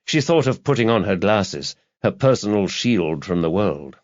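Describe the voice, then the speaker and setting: A man's voice: fairly low pitch, very animated, moderate pace, dry recording. A man narrating a crime thriller audiobook in a scripted, dramatic storytelling style. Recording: slightly dull, lo-fi audio.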